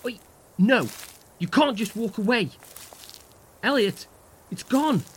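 A man's voice: very high-pitched, lively intonation, slow pace, a little hiss or hum, heavily acted. An actor playing a desperate young man, calling after his brother and begging him to come back. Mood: desperate shouting. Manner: frantic, pleading shouts.

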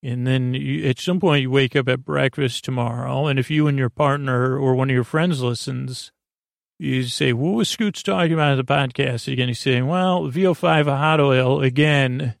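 A man with a gravelly, fry-laden voice hosts a bedtime podcast, recorded close and dry. He wanders from tangent to tangent in a silly, goofy way, often stopping and restarting his sentences. His pitch sits in an ordinary male middle range. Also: moderate pace, dry recording. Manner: meandering, tangent-prone, hesitant delivery with trailing restarts. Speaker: podcast host. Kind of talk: rambling bedtime story podcast monologue.

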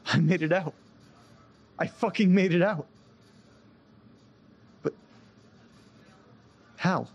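An actor playing a distressed, desperate man in an audio drama, speaking earnestly and haltingly, often breaking off mid-sentence. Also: a little high, vocal fry, a little mellow, husky, dull audio, exaggerated voice. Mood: agitated, astonished. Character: distressed man recounting a haunted-house ordeal, desperate to get home. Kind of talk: audio drama acting, naturalistic.

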